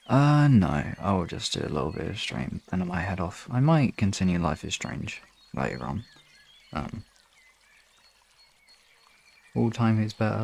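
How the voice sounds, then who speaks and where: A young man chatting casually on a livestream in a rambling way, sometimes slipping into soft baby talk. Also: on the low side, creaky, breathy edge. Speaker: live streamer.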